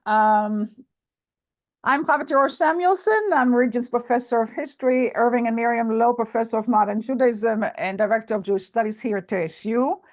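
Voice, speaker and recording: An older woman with an Israeli accent giving an academic lecture on a video call, speaking in a measured, halting way with many fillers and restarts. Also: on the high side, a little mellow.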